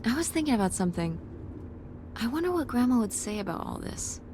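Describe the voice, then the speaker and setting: An actress playing an earnest teenage daughter who designs websites, speaking in a casual, sincere, slangy way in naturalistic game dialogue. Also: female voice, fairly high pitch, sing-song, brisk, background noise. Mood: curious.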